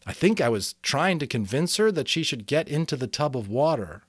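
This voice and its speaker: A man's voice: medium pitch, sing-song, moderate pace, dry recording, voice pushed up. Storybook boy, played by a male reader: earnest, hesitant, careful in explaining, dry close sound. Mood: embarrassed. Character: hesitant, earnest young boy recounting an embarrassing dream.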